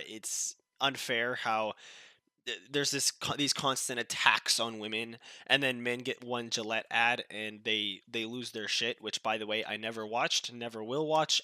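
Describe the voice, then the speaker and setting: A man's voice: medium pitch, pushed and bright, no room sound. Nasal, sick-sounding young man. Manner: blunt and dismissive, with frequent restarts. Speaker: commentary channel host. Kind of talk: casual political commentary.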